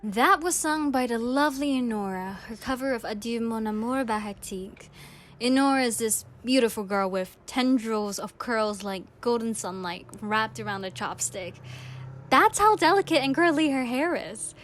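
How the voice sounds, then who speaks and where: A woman's voice: fairly high pitch, moderate pace, a bit bright, a little hiss or hum. A young woman hosting a radio-style podcast, telling personal stories to listeners in a reflective, earnest way. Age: young adult. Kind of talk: personal anecdote, monologue to listeners.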